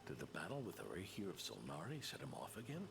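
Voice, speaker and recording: An actor playing an aging, shrewd and kindly knight commander in an anime video game, speaking in a measured, reflective way. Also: male voice, low-pitched, huge pitch swings, gravelly, noisy background, exaggerated voice. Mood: grave.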